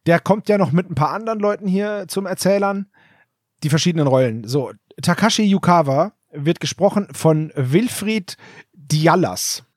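Chatty man with a higher voice. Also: lively intonation, normal speed, no echo. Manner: chatty, hedging on names, dry jokes. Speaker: podcast host. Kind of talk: casual chat reviewing an audio drama.

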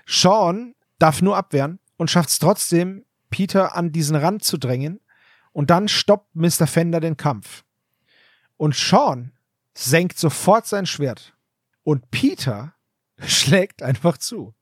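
A man's voice: on the high side, expressive, slow, no room sound, studio quality. A man co-hosting a podcast, casually discussing an audio drama in an emphatic, opinionated, colloquial way. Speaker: podcast co-host.

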